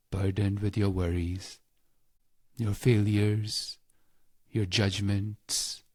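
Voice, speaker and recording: A man leading a scripted spiritual guided meditation in a calm, measured, reverent manner with deliberate pauses. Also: sits low, slightly rough, no echo.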